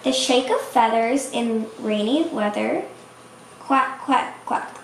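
A woman's voice: fairly high pitch, slow, vocal fry, far from the mic. A woman voicing a playful young duckling from a children's picture book, in simple sing-song rhymes. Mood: happy, playful. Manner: simple sing-song rhyming storybook delivery. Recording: echoey room, faint noise.

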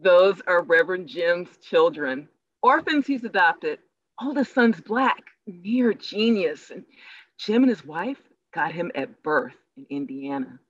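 A woman's voice: on the low side, very animated, measured pace, slightly rough, highs cut off, exaggerated voice. A woman playing an earnest, forward church organizer in an online play reading over a video call. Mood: friendly, forward. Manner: eager, proselytizing. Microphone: video call microphone.

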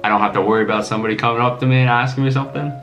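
A man's voice: medium pitch, steady tone, racing, dull audio. A man in his twenties from New York City with an American accent, chatting casually and bluntly, with some swearing. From New York City, USA. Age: 20s. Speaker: guest, host's boyfriend. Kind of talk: casual chat. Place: small home kitchen, indoors. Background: music with plucked guitar.

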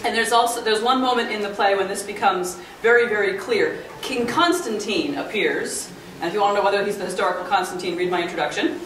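A woman's voice: medium pitch, fast, a bit bright. A woman in her thirties giving an explanatory, engaged conference talk as a literary scholar. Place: hotel conference room. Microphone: camera at a distance. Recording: echoey room, distant mic, faint noise.

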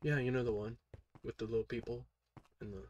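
A man's voice: medium pitch, steady tone, brisk, highs cut off. Playful man hosting a game stream. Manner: playful, goofy, jokey. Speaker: host. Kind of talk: casual gaming commentary.

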